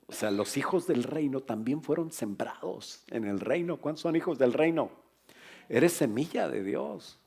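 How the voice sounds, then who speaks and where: A middle-aged man, a pastor, preaching a lively sermon to his congregation in a church, with humor and asides to the listeners. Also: mid-range voice, slightly rough.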